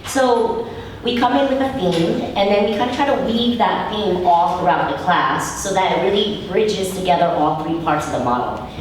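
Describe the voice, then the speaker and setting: Hoarse woman, mindfulness teacher, steady explanation, lower voice, far mic, big echo. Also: moderate pace, slight background noise. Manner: steady, explanatory, asks the audience questions. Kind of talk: explaining to a live audience. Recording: roomy hall mic from a distance.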